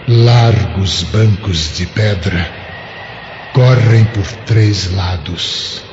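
A man's voice: low-pitched, extremely slow. An actor playing a florid Roman man in an audiobook drama, grandiose, with a Brazilian accent. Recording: dull lo-fi audio, background noise.

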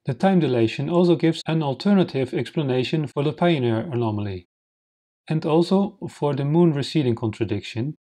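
A man whose native language is Dutch narrates a slideshow lesson, explaining formulas slowly and step by step. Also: on the high side, animated, mellow. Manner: slow, methodical, explains step by step. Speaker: science channel host.

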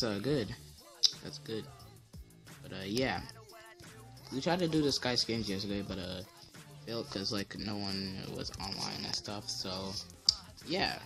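A teenage boy with a deep voice giving casual gameplay commentary as channel host, rambling with many fillers and restarts. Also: a bit bright.

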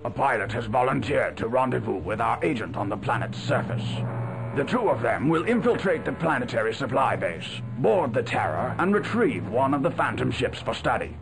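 An actor playing a grave, commanding rebel officer who delivers a measured, formal mission briefing in a video game. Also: male voice, medium pitch, sing-song, gravelly, low-quality recording. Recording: music behind, 1990s game audio.

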